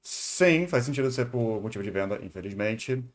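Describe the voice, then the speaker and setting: A man's voice: medium pitch, animated, normal speed, soft background music. A Brazilian man giving casual, opinionated live reaction commentary as a streamer, thinking aloud conversationally.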